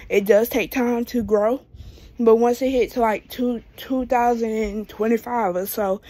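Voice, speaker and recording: A woman's voice: fairly high pitch, darkish tone, no room sound. A woman of twenty-two with an American accent, chatting casually and rambling as a vlogger while she paces indoors, recorded on a handheld phone. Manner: rambling, talking while pacing on foot. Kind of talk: casual rambling vlog chat. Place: small room indoors at home. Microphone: phone at arm's length.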